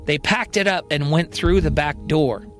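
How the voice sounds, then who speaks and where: A man's voice: a little high, normal speed, a bit bright, faint music behind, lightly acted. A man voicing a businesslike male police investigator in an audio fiction story, speaking matter-of-factly. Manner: matter-of-fact.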